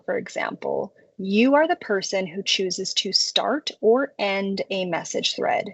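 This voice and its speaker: Female software tutorial narrator, medium pitch, conversational explainer delivery, dry close-mic sound. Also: creaky, no echo. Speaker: software demo presenter. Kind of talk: scripted product tutorial narration.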